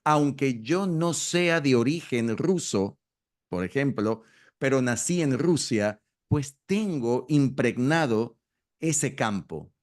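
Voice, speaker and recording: A middle-aged man from Venezuela talks at a mid pitch. He explains an idea slowly and often restarts, repeating words as he searches for the next phrase. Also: animated. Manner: hesitant, repeats words while thinking. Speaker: astrology school host. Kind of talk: conversational explanation.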